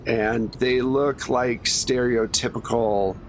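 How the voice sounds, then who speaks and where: Male game master over faint rumbling noise. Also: steady tone, takes it slowly. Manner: conversational, improvising scenes and prompting players. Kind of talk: casual tabletop game narration.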